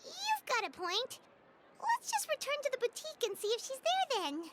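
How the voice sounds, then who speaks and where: A woman voicing a tiny, childlike floating companion in a video game, chatty and quick to take offense. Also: very high-pitched, huge pitch swings, very noisy, full character voice. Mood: excitable. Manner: speaks of herself in the third person, indignant and chatty. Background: game music.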